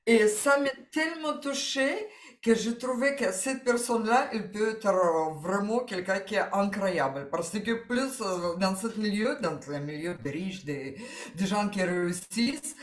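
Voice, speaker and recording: A woman from Ukraine with a Ukrainian accent, a guest in an interview, talking slowly and searching for her words, often with a small laugh. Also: medium pitch, roomy sound. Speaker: interview guest, coaching client. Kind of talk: testimonial interview.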